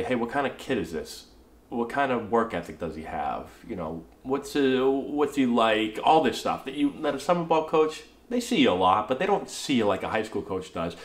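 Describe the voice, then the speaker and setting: Guy in his 30s, stuffed up from a cold, chill coach vibe, kinda low voice, roomy echo. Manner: casual, coachy, tags lines with "right?". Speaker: baseball coach answering viewer questions. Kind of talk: casual talking-head Q&A. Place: small indoor room, plain wall. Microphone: camera in front, head and shoulders. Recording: echoey room.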